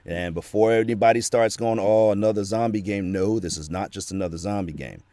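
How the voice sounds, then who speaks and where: A man's voice: fairly low pitch, fairly quick, warm tone, dry recording. A man talking casually as a guest, rambling with many fillers while describing a game he voiced. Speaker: guest, voice actor. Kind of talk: casual chat.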